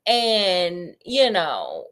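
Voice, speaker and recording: A woman's voice: on the low side, wildly swooping pitch, very slow, a bit bright, voice pushed down, full character voice. A woman voicing a grumpy older sister in a retold storybook, complaining and brassy. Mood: grumbling. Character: grumpy put-upon woman cook.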